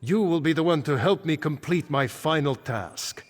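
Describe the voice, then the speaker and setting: A solemn ancient archmage, echoing. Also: male voice, a little high, expressive, moderate pace. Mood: solemn, urgent. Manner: grave, formal, portentous. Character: solemn ancient male archmage returned from death. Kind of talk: fantasy video game dialogue. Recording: echoing game hall with long reverb.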